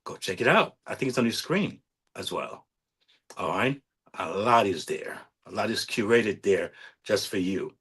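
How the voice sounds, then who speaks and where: A man's voice: on the low side, lively intonation, unhurried, a bit bright, breathy edge. A man in his forties hosting his own podcast in a casual motivational monologue, earnestly coaching listeners and repeatedly checking in with "all right?". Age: 40s. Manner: repetitive, keeps asking "all right?"; earnest, self-promoting coach. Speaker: podcast host.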